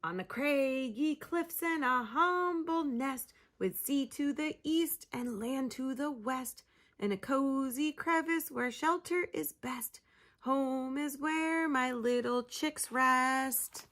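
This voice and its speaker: A woman's voice: high-pitched, slow, fairly bright tone, pitched above the performer's own voice. A woman putting on a squawking mother gull. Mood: sing-song. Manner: sing-song, rhyming, with sudden squawks.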